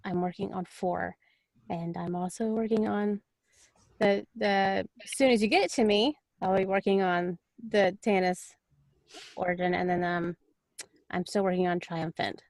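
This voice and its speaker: A woman's voice: fairly low pitch, steady tone, normal speed, a little mellow, dry recording. A woman talking casually and chattily as an audiobook narrator on a panel.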